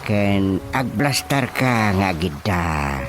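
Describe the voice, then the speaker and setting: A man's voice: deep voice, expressive, extremely slow, music in the background, full character voice. An actor playing a bossy, fussy village chairman and healer in a radio comedy drama, with an Ilocano Filipino accent and a hesitant, muttering manner. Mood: fussy, instructing.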